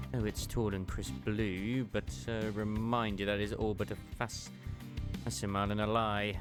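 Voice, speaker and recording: A man voicing a bookish, reserved martial archivist in a game fan dub, speaking in formal, archaic, measured phrases with gentle wistfulness. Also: lowish voice, natural voice. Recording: music under the voice.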